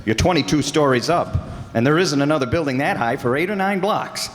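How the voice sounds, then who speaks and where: A man's voice: a little high, expressive, a little fast, a little hiss or hum, close to an everyday voice. A man playing a persistent, earnest, plain-spoken detective in an old-time radio drama. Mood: concerned. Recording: echoey hall, faint music behind.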